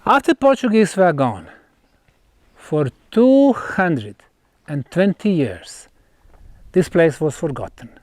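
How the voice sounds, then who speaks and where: A man presents in the manner of an explanatory lecture, telling it like a story. His pitch swings widely as he stresses key words, and he breaks his sentences into short phrases. The pace is very unhurried, and the sound is dry and close. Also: sing-song, a little mellow, no room sound. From Bosnia. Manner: emphatic, storytelling, pausing between short phrases. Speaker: researcher presenting an archaeological site. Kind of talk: unscripted explanatory lecture to camera.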